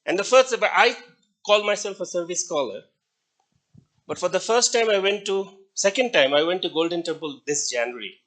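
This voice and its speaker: Middle-aged indian guy lecturing, high voice that swoops around a lot, keeps quizzing the class. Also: animated, normal speed, a bit bright. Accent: Indian English. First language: Hindi. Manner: asks the class questions, chuckles between points.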